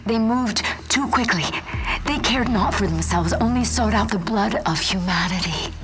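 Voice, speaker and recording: An actress playing a weary scientist turned reverent believer, recording a solemn video game log in a low, creaky voice that slips toward whispers. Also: female voice, normal speed, over music, lightly acted. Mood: haunted, awed. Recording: clearly noisy log recording.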